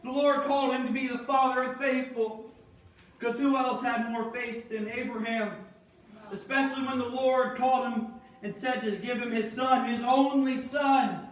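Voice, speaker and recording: A man preaching in a church sanctuary, his voice unusually high for a man. It rings through a very echoey room and sits well back from the mic, with a congregation calling out responses. He shifts from measured reading to emphatic, building exhortation. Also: fairly even intonation, distant mic. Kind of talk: sermon.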